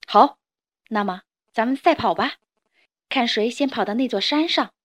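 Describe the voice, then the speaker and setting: A woman voicing a big male tyrannosaurus, gruff but tender, speaking softly and firmly. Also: on the high side, normal speed, natural voice. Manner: soft, gruff.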